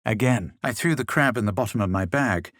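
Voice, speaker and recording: A man playing a haughty, self-assured young adventurer in a fantasy video game, speaking in a measured, theatrical way. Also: mid-range voice, a bit bright, no echo, studio quality, lightly acted. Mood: brooding, measured. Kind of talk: dramatic video game voice lines and narration.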